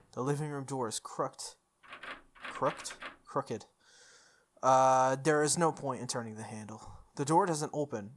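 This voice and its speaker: A man's voice: on the high side, noisy background. A man hosting a game playthrough, reading a visual novel aloud with dramatic delivery and casual asides. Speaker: video game playthrough host. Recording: background music behind the voice. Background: game music.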